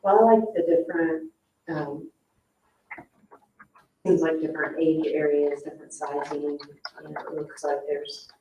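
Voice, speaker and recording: A woman's voice: low-pitched, steady tone, unhurried, pauses often, dark tone, highs cut off. A woman board member giving her thoughts informally in a meeting, phrasing them loosely and tentatively. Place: indoor town board meeting room. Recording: echoey room, distant mic, faint noise.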